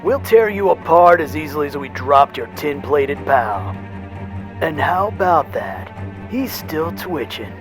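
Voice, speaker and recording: Man playing sneering high-pitched armored thug. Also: sing-song, a little slow, music in the background. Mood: gleeful cruelty. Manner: jeering. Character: sneering, cruel male armored zealot thug.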